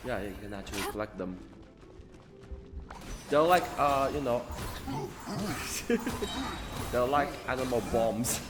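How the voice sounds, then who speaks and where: Male streamer, casual commentary, slow halting delivery, lively intonation, music in the background, reverberant. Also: mid-range voice. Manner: casual swearing. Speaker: gaming streamer. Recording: long echoing reverb, music behind. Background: game music.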